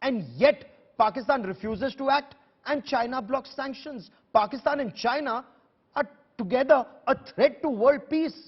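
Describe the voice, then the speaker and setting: A man with an Indian accent moderates a heated TV debate in a strikingly high, raspy voice. He is insistent and combative, driving points home by repeating them. The broadcast sound is dull and muffled. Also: normal speed. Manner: insistent, confrontational, emphatic repetition. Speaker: TV news debate host.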